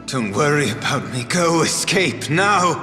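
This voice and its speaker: Casting: injured young game prince, bitter and guilt-laden, unhurried, strong reverb. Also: male voice, a little high, expressive. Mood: pained, defiant. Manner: slow, pained, brooding delivery. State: in pain. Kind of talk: scripted video game voice acting. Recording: echoey hall reverb under loud music.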